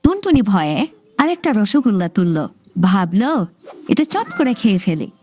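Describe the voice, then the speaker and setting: A woman narrating a scripted children's story in an expressive storybook manner. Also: mid-range voice, unhurried, slight background noise.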